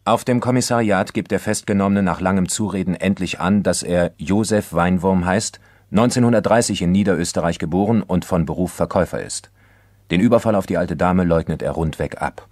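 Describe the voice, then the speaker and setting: A man's voice: deep voice, fairly quick, darkish tone, dry recording, clean studio sound. A man narrating a radio crime play in a sober, factual scripted style, like a case report.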